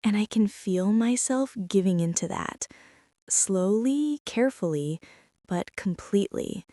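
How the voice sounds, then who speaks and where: A woman performing a soft ASMR roleplay as an insecure woman CEO confessing her feelings to an employee, talking in a hushed, halting way that slips into whispers. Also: mid-range voice, expressive, no echo, clean studio sound, close to an everyday voice. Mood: confessional.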